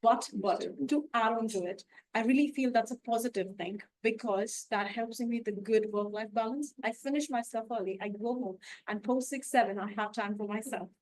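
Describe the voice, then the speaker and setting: A woman's voice: on the high side, moderate pace, darkish tone, dry recording, rough recording. A woman from India speaking on a student panel, talking in a chatty way and often adding on to what others said. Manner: chatty, adds on to others with fillers like "definitely" and "you know". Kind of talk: casual panel discussion.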